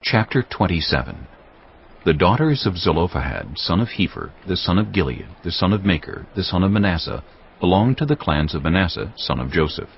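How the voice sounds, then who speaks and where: A man narrating scripture from a script in a solemn, deliberate manner. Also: low-pitched, very animated, vocal fry, husky. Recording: slightly muffled, music underneath. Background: background music.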